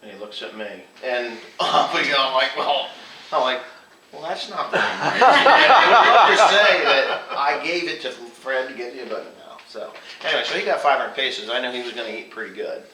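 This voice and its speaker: A man's voice: medium pitch, lively intonation, moderate pace. A man casually telling anecdotes, acting out bits of quoted dialogue as he goes. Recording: roomy, distant mic, faint noise.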